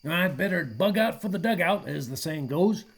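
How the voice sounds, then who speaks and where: A man playing a friendly, laid-back dude with breezy slang in a radio play, his voice husky. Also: high voice, lively intonation, normal speed. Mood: casual, breezy.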